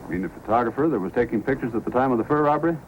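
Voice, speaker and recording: An actor playing a calm, courteous police sergeant who questions methodically, in old-time radio style. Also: male voice, medium pitch, racing, natural voice. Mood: businesslike. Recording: noisy old radio recording.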